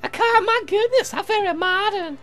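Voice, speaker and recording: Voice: very high-pitched, normal speed, fairly bright tone, faint music behind, voice pushed up. A woman voicing a gracious, protective old sea captain's wife, polite and old-fashioned, for a visual novel game. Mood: genteel.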